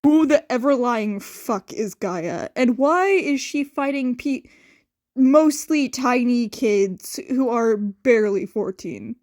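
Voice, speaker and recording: A woman's voice: a little high, expressive, slow, a little mellow. A woman reading a story aloud as an amateur fan fiction narrator.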